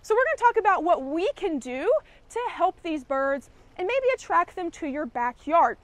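A young woman teaches birding basics in a friendly, practical way, speaking straight to the camera. Her voice sits high and bright. It is close and dry, picked up on a clip-on mic outdoors. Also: big pitch swings, normal speed, no room sound. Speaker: conservation educator. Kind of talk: outdoor how-to lesson. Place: garden yard outdoors.

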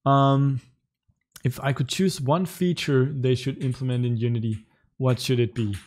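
A young man in his twenties from Denmark, a native Danish speaker, casually answering chat questions on a livestream from his room, relaxed and chatty with light laughs. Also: medium pitch, a little slow. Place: indoor home room in front of a webcam.

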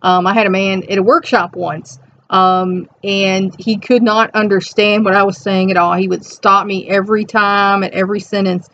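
A middle-aged woman with a high, soft voice, chatting reflectively to camera at home with rambling, conversational asides. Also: little pitch movement, moderate pace, slight background noise. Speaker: host reading and commenting on an inspirational reflection. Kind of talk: casual reflective chat to camera with read-out passages. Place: small cluttered room at home. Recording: dull, lo-fi webcam sound.

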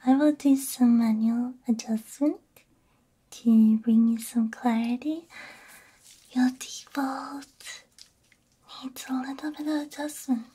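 A woman speaks in a very breathy, whispered ASMR style, close to the mic. Her voice is high and soft, with a slow, unhurried pace. She sounds gentle and reassuring, as if talking someone through a careful procedure. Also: steady tone. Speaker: ASMR roleplay creator playing a robot repair technician. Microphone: close binaural ASMR microphone.

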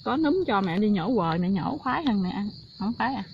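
A woman's voice: medium pitch, very animated, fairly quick, creaky. A middle-aged woman with a southern Vietnamese accent, chatting casually outdoors. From Ben Tre, southern Vietnam. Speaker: mushroom picker, mother of family. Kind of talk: casual chat. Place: outdoors by a creek in a coconut grove. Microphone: off-camera, picked up by filmer's device. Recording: dull outdoor recording, loud insect noise.

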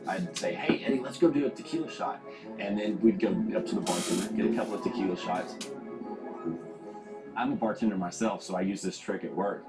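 A reality show contestant speaking in a confessional, matter-of-fact and scheming. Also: male voice, lowish voice, a little fast, echoey room. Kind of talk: confessional interview. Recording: TV audio replayed with loud music.

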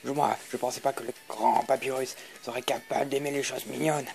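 A man voicing grumbling, theatrical skeleton brothers in an amateur comic dub, with a gravelly voice. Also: medium pitch, flat delivery, a little fast, darkish tone, lightly acted. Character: pompous skeleton boss and his weary, sarcastic brother.

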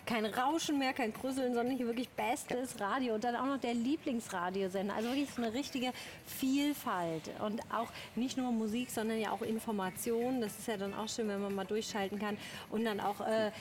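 A young adult woman hosting TV shopping, delivering an unscripted sales pitch straight to viewers. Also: normal speed, slight background noise. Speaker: TV shopping host. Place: TV shopping studio.

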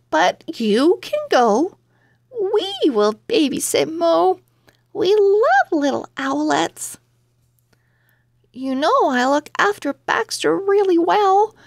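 A woman's voice: very high-pitched, big pitch swings, takes it slowly, gravelly, dry recording, studio quality, full character voice. A woman voicing an eager, bubbly young girl owl from a children's storybook, with emphatic delivery. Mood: pleading.

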